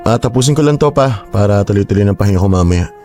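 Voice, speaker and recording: Radio drama: man as a touchy older brother, a fast talker with a lowish voice. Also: expressive, over music, close to an everyday voice. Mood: calm. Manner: defensive, quickly flares into shouting. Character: proud older brother, a young teacher.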